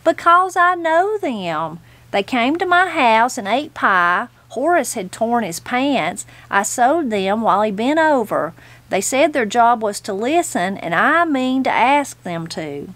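A woman playing a feisty, high-pitched old country granny. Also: sing-song, a little slow, fairly bright tone, no echo. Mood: folksy, determined. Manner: folksy, blunt, exclaiming. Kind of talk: audiobook storytelling character voice.

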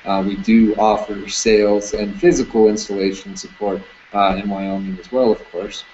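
A man in his thirties, a security camera business owner, talks casually in an interview over a video call, relaxed, with many fillers. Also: lowish voice, normal speed. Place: indoor room with curtains. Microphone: webcam on video call. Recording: dull lo-fi video call audio, faint noise.

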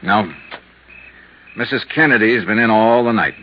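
An actor playing a cool, shrewd insurance investigator in a 1950s radio drama, terse, measured and matter-of-fact. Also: male voice, fairly low pitch, slight background noise, lightly acted. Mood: cool, persuasive. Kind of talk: 1950s radio drama, hard-boiled narration and dialogue. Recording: muffled 1950s radio recording.

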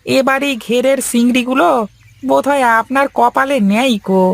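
Casting: male actor for a folksy animated servant, high voice with lots of gravel, cautious and deferential. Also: normal speed, heavily acted. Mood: worried, deferential. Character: deferential, cautious village farmhand, a man. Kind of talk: cartoon dialogue acting.

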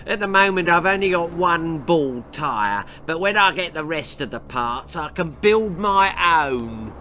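A penny-pinching father from a children's storybook, voiced by an older man. He talks in a raised, animated voice, pleased with himself and matter-of-fact. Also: a little high, a little slow, a little hiss or hum, voice pushed up. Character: grinning, miserly father.

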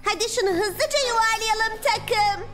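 Little superhero girl: extremely high, eager, in command, cartoon dub with faint music. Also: a little slow, a bit bright. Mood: determined. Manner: eager, instructing teammates. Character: earnest, take-charge six-year-old superhero girl.

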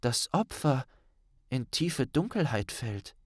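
A man's voice: mid-range voice, sing-song, slow, no room sound, clean studio sound, pitched above the performer's own voice. A man voicing an earnest, anxious teenage witch girl who speaks in a careful, questioning and precise way for an audiobook.

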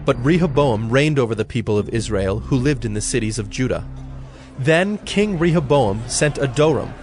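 A man narrating a dramatized Bible in a measured, solemn storytelling style. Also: mid-range voice, expressive, a little mellow, faint music behind.

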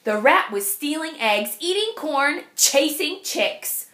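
Woman, teacher, children's read-aloud, expressive, unhurried, lively pitch swings.

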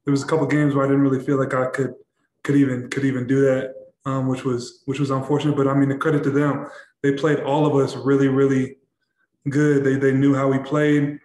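Young man, halting, echoey press room. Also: medium pitch, little pitch movement, darkish tone. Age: young adult. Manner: halting, repeats words, many fillers. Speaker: basketball player. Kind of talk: postgame press conference answers. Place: press room in front of a backdrop.

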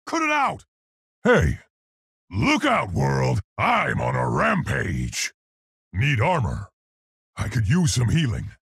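A man voicing a large, earnest, polite and brave gorilla scientist for a video game, gruff and articulate in his delivery. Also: mid-range voice, huge pitch swings, takes it slowly, long pauses, fairly bright tone, no room sound, studio quality, heavily acted. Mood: agitated, shouting. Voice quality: gruff, husky, gravelly. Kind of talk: video game character voice lines.

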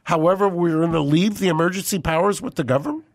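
An older man co-hosting a podcast in a studio, talking into a boom mic, indignant and full of rhetorical questions. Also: fast. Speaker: co-host. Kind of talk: casual podcast talk. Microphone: studio boom mic with headphones.

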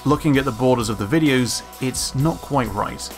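A man giving scripted analytical commentary as a video narrator, in an informal, measured, opinionated way. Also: medium pitch. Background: background music.

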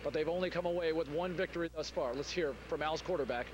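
Quick young male sports host. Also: on the high side. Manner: brisk, scripted lead-ins. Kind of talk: studio sports wrap-up. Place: empty stadium set. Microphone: handheld mic. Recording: broadcast audio with background noise.

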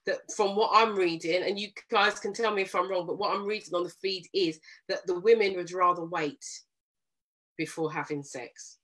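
A woman hosting a relationship talk show, explaining her point at length in a measured, firm way. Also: lowish voice, pushed and bright. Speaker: host.

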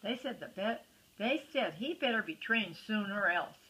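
Know-it-all little sister for a storybook, woman acting: bright, sharp-tongued, bossy. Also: mid-range voice, expressive, normal speed, low-quality recording. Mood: tattling.

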